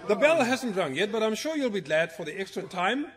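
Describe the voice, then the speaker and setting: An actor playing a formal, wry science teacher in an audio drama, precise and wordy in manner. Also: male voice, sits high, very animated, fairly quick, over a noisy crowd, heavy background noise. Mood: dryly stern.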